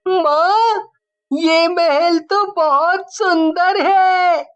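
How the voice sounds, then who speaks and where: Excited little kid for a story, squeaky-high, eager, performed by a woman. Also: extremely slow, lo-fi sound, full character voice. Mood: amazed. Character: excited, toy-loving young child.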